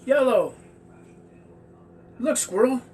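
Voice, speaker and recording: A man's voice: very high-pitched, animated, slow pace, darkish tone, voice pushed up, exaggerated voice. A man voicing a big, slobbery, enthusiastic old dog in a children's storybook. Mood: excited barking. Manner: barking, slobbery delivery. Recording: distant mic with faint background noise.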